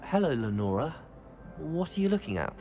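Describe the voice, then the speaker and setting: A man's voice: on the high side, big pitch swings, normal speed, dark tone. A young man playing an earnest, curious teenage wizard student in a video game, polite and articulate. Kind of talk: scripted game cutscene acting. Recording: prominent music under the voice.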